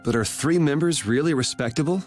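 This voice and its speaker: A man's voice: on the high side, a little fast, soft background music, lightly acted. Man acting a laid-back, wry young hero. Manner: casual, wry. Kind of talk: video game voice acting.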